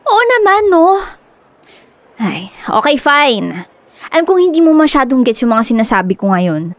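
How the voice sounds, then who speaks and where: High-voiced doting dog mom. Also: female voice, normal speed, no echo. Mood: cheerful, insistent. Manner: expressive, cooing. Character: doting, playful young woman pampering her pet dog. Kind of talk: radio drama acting.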